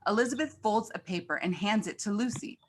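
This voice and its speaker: A woman's voice: mid-range voice, brisk, slight background noise. A woman reading scripted stage directions as the narrator of a screenplay.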